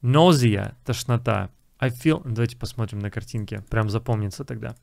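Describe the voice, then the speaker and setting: A man's voice: fairly low pitch, moderate pace, gravelly, a little mellow, no echo, studio quality. A young man, an English teacher, casually explaining film lines and repeating English phrases.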